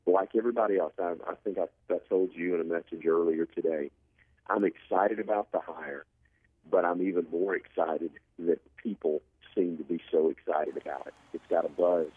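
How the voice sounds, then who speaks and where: A man with a very deep, rough-edged voice gives sports analysis as a guest. He makes his points emphatically and restates them. The sound is narrow, like a telephone, and quite dry. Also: dry recording. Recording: narrow phone-like line.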